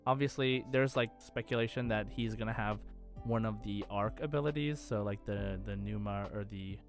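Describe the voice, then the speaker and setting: A man's voice: lowish voice, moderate pace. Man chatting on a gaming podcast over music. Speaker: podcast host. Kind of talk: casual gaming podcast chat.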